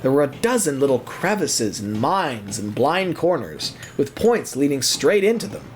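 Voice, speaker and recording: A man's voice: fairly high pitch, slight background noise. A man narrating an audio drama in a scripted, dramatic storybook style.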